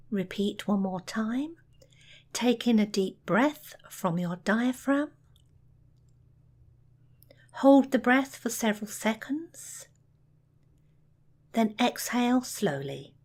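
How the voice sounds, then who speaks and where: A woman reading a scripted guided relaxation in a soothing, measured, soft delivery with pauses. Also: fairly high pitch. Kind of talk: scripted guided meditation narration.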